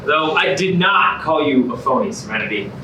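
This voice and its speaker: Man playing a combative contestant, animated high voice. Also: moderate pace. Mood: wary. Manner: sarcastic, competitive. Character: suspicious, combative male reality show contestant. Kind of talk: musical comedy staged reading. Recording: very echoey, noisy, distant stage recording.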